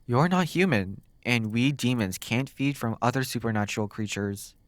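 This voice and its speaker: A man playing a flirty, sardonic demon captor in an intimate ASMR roleplay, teasing and curious, drawing words out with dramatic pauses. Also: medium pitch, no echo, natural voice. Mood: baffled, probing. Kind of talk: ASMR roleplay, intimate one-sided dialogue.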